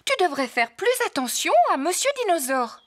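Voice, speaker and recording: A woman voicing a gently cautioning mummy pig in a French-dubbed preschool cartoon. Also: sits high, animated, fairly quick. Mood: gentle warning. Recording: loud noise behind.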